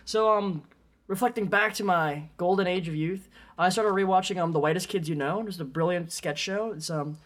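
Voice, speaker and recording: A man's voice: high-pitched, normal speed, fairly bright tone. A man co-hosting a podcast in loose, joking conversation, with irreverent, self-mocking humor. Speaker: podcast co-host. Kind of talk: casual joking chat.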